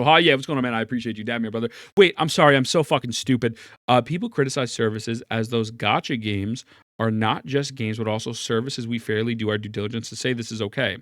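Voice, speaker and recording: A man's voice: medium pitch, fairly bright tone, dry recording, clean studio sound. A man streaming live, reacting to a video and chatting casually with his viewers, rambling and putting himself down while greeting chat. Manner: rambling, self-deprecating, greets chat often.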